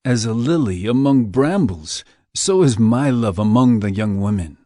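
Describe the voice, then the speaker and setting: An actor playing an ardent, adoring royal bridegroom in a dramatized scripture reading, with poetic, romantic delivery. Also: male voice, mid-range voice, animated, a little slow, mellow, no echo, clean studio sound, lightly acted.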